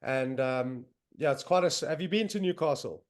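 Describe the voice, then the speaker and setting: Young man, South African accent, podcast host, enthusiastic, lots of fillers, slightly high pitch. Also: moderate pace. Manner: loose, filler-heavy, enthusiastic. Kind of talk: casual podcast chat. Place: home in northern England, on a video call.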